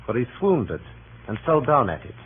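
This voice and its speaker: A man's voice: medium pitch, very animated, moderate pace, lightly acted. An actor playing a sardonic, contemptuous Roman nobleman with a blunt, scornful way of telling a tale, in 1930s radio style. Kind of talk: 1930s radio Shakespeare acting. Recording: noisy, tinny 1930s radio broadcast.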